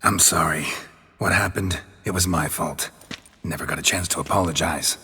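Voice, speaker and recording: A man playing a gruff, stoic monster hunter in a video game, a gravelly rasp and clipped deadpan delivery. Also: low voice, normal speed, full character voice. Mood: surprised, apologetic. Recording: reverberant hall, music underneath.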